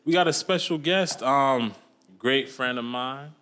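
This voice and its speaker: A man's voice: medium pitch, a little slow, fairly bright tone, clean tone. A man hosting a podcast in casual chat, using loose slang and restarting his sentences often. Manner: loose slang-filled talk with many restarts. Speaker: podcast host.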